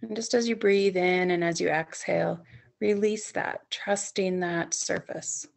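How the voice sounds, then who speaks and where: A woman leading a guided sleep meditation as a yoga nidra instructor, speaking soothingly in gently coaxing, unhurried phrases with long pauses. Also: on the low side, fairly even intonation, vocal fry.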